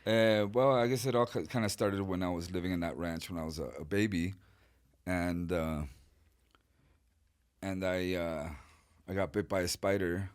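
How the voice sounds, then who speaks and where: A middle-aged man from Chile, a guest artist telling his life story in a relaxed, rambling way with many fillers. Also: deep voice, normal speed, long pauses, clean tone. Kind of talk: casual interview storytelling.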